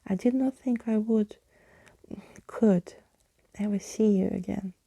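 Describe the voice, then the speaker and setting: A woman's voice: mid-range voice, slow, gravelly, mellow, slightly rough, dry recording, heavily acted. A woman voicing a theatrical, wistful yet gleeful old male skeleton in a storybook, with florid old-fashioned speech.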